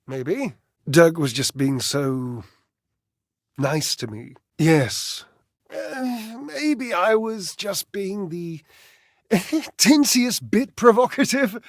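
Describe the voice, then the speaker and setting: A man's voice: high-pitched, dry recording, clean studio sound, exaggerated voice. A man voicing a vain, sensitive clothes dryer who performs on stage, a theatrical video game character with dramatic sighs and flustered stammering. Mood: wistful, confessing. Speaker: voice actor.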